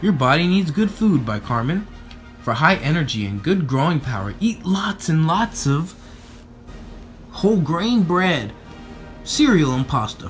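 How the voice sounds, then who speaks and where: A man reading aloud to children in an expressive, playful storybook style. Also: fairly high pitch. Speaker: storybook reader. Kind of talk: animated read-aloud narration for children.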